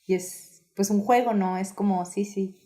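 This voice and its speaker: A woman's voice: medium pitch, fairly even intonation, unhurried, mellow. A woman interviewing a friend casually, encouraging her with frequent short agreements.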